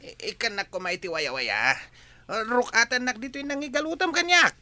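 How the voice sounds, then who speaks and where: A man's voice: very high-pitched, lively intonation, normal speed, pushed and bright, no room sound, heavily acted. A man with an Ilocano accent playing a nervous, hesitant henchman who pleads and stammers in a radio drama. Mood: nervous pleading. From Philippines. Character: nervous, pleading henchman confessing to his boss.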